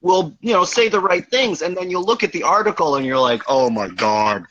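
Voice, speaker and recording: A man's voice: high voice, lively intonation, moderate pace, a bit bright, dull audio. A man co-hosting an online show, chatting casually with lots of fillers. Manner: casual, filler-heavy, laughing. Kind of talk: casual chat.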